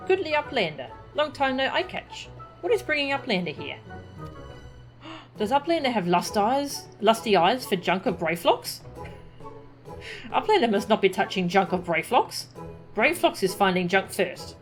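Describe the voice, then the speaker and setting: A woman voicing an excitable female goblin scavenger who talks in broken grammar and refers to herself by name. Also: fairly high pitch, measured pace, faint music behind. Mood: suspicious.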